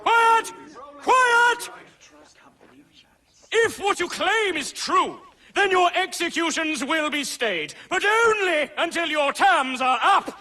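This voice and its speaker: Shrill, pompous colonial judge. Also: male voice, lively intonation, slow, pushed and bright, heavily acted. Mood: outraged. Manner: imperious, formal, declamatory. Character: stern, pompous colonial judge passing death sentences. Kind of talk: theatrical game courtroom acting.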